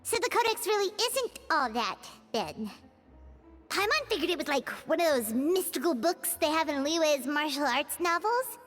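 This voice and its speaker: Brief: a tiny, squeaky fairy sidekick, lively and nosy, in a video game. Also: female voice. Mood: surprised. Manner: chirpy, quick to react and question. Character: tiny excitable fairy companion, girl-like. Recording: game audio with music behind.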